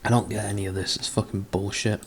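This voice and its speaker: A man's voice: fairly low pitch, flat delivery, fast, a little hiss or hum. A man doing a sarcastic reading of a book, breaking off into sweary, exasperated mockery. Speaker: comedic book reader. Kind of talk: sarcastic dramatic reading with commentary.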